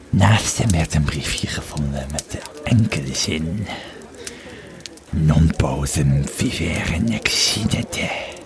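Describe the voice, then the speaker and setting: Radio play legionary, male, acted: very deep, slow, grave war storyteller who drops into hushed tones. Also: vocal fry, full character voice. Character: Roman legionary recounting grim camp intrigue to listeners. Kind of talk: first-person audio drama storytelling.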